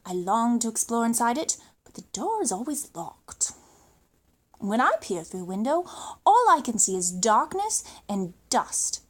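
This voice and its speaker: A British woman reads a children's book aloud as a storyteller. Her voice sits high and swoops up and down with lively range. The delivery is theatrical, leaning hard on key words for dramatic emphasis. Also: unhurried. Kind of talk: expressive children's story reading.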